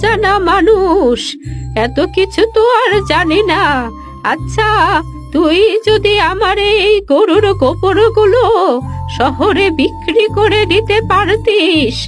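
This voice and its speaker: A woman acts out an old village mother in a cartoon tale. The voice is extremely high and drags slowly through plaintive, scolding, pleading speech. Music plays behind it. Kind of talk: dramatised cartoon story dialogue.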